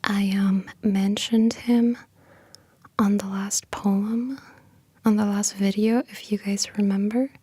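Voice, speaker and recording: Hushed, breathy woman speaking very slowly. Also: medium pitch, steady tone, no room sound. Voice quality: breathy, half-whispered soft voice. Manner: gentle, unhurried, hushed. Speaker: ASMR creator and host. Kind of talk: soft-spoken ASMR, casual explaining and poetry reading. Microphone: close microphone.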